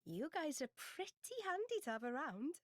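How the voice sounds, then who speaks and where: A woman playing an expressive, curious young companion in a video game cutscene. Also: high-pitched, normal speed, no room sound, clean studio sound. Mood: curious wonder. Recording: faint music behind the voice.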